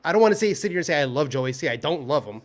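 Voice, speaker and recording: A man's voice: fairly high pitch, racing. Profane, fired-up man ribbing a friend. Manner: profane, animated teasing. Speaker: podcast guest. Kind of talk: casual podcast banter.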